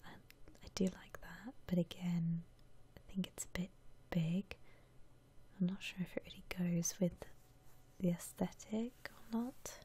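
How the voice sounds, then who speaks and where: A woman whispering softly and breathily as she muses aloud through ASMR game commentary. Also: fairly low pitch, steady tone, slightly rough. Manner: unhurried, soft-spoken musing aloud. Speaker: host of an ASMR gaming channel.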